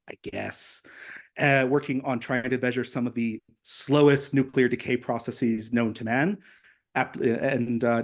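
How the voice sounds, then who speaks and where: A man in his thirties introduces a speaker at an online event. His voice is mid-pitched and ordinary, and he talks in a chatty way full of fillers and teasing asides. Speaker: moderator.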